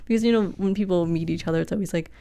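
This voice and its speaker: Young guest chef, first language Vietnamese, low-pitched, loose rambling chat. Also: female voice, rapid-fire, vocal fry, a crowd in the background. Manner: chatty, many fillers, laughs often. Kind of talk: casual podcast conversation.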